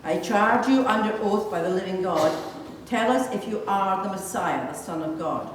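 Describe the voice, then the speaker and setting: A woman's voice: medium pitch, animated, moderate pace. A woman reading several parts in a dramatised Bible reading. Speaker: scripture reader of several minor parts. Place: large reverberant church. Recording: very echoey church, distant mic, faint noise.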